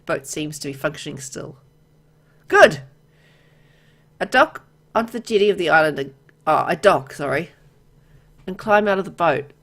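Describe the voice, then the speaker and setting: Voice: medium pitch, fairly bright tone. A game player reading a visual novel aloud and adding informal commentary and reactions. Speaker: gamer, let's player.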